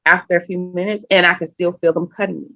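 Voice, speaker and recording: A woman guest on a podcast, telling a personal story in an animated, emphatic way and often acting out what people said. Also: on the low side, fast, vocal fry, no echo. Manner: animated, emphatic, acts out quoted speech. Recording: dull lo-fi sound.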